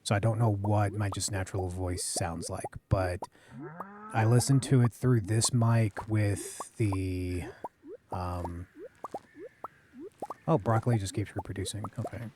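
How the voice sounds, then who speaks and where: A man streaming a farming game, chatting casually into a close boom-arm mic, rambling and apologetic, with a deep voice he keeps low. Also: steady tone, a little slow, noisy background. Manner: rambling, self-correcting, apologetic, talks low. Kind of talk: casual live-stream chat while gaming. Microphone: studio mic on a boom arm, close to the face.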